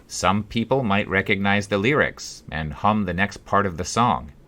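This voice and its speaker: A man reading his own first-person story as an audiobook, with measured, deliberate phrasing. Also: fairly low pitch, expressive, pushed and bright. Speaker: author reading own book.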